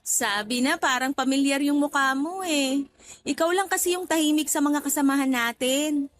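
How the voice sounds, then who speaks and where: High-voiced bubbly young office woman, acted by a woman. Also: normal speed, close to an everyday voice. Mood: cheerful, chatty. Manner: playful, expressive, exclaiming. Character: bubbly, chatty young female office trainee, friendly and forward. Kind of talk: Tagalog radio drama acting.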